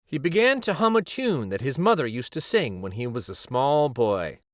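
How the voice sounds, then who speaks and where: A man reading a children's picture book aloud as a storyteller, with an unhurried, expressive delivery. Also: mid-range voice, no room sound, clean studio sound.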